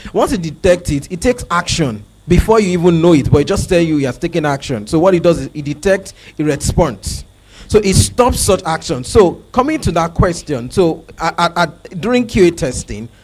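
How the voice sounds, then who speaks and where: A man in his thirties answering as a cybersecurity expert on a conference panel, halting with fillers and restarts, then more emphatic, into a handheld mic. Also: a little high, darkish tone. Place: conference hall on stage.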